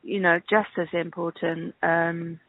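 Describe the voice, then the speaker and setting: Woman, phone interview guest, creaky voice, hesitant, thinking aloud, tinny dry sound. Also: lowish voice, level tone, unhurried, no room sound. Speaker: interview guest, casting director. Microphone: telephone call. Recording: tinny, band-limited phone line.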